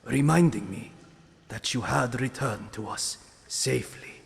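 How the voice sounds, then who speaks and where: An old rat sensei, acted by a man, speaks with solemn, formal care. The pace is very unhurried at a middle male pitch. Long reverb surrounds this dramatic fatherly figure. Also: gravelly. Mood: grave, sorrowful. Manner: formal, measured, grave. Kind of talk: dramatic audio drama acting. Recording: long reverberant hall echo.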